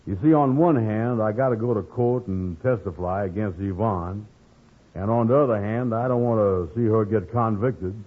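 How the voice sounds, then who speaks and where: A male actor plays a dim, worried fellow in a vintage radio sitcom. His low voice drags along hesitantly, heard through a tinny, narrow old broadcast recording. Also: close to an everyday voice. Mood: worried, puzzled. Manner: hesitant, drawling, full of hums and pauses. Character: slow, worried, dim-witted man seeking advice. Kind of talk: 1940s radio comedy acting.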